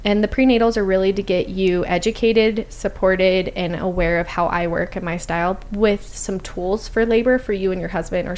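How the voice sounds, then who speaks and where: A woman's voice: medium pitch, darkish tone. A woman, a birth doula, explaining her work in an easygoing, casual chat.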